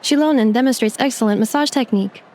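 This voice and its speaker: A woman's voice: on the high side, quick pace, darkish tone, a little hiss or hum, natural voice. Woman voicing a formal robot girl. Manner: formal, precise, computer-like phrasing. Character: polite young female robot, literal and formal. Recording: game audio with music behind.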